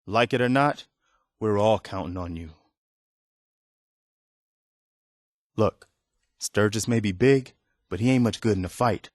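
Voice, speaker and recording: Guy voicing a loyal tired militia soldier from a video game, kinda low voice, earnest and folksy, real dry sound. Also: moderate pace, no room sound, close to an everyday voice. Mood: businesslike, urgent. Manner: earnest, plain-spoken, folksy. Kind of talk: dramatic video game dialogue.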